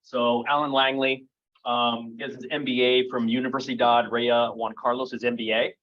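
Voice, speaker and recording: A man's voice: mid-range voice, flat delivery, moderate pace. Man, stand-in emcee, casual, filler-heavy, dull lo-fi room sound. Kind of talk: event introduction. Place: meeting room.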